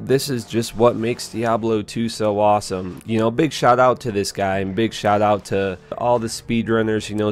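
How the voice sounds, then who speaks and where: A man in his twenties with a hoarse voice, speaking casually and earnestly to viewers as a gaming channel host. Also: on the low side. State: hoarse, voice strained after a concert. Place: small indoor room at home.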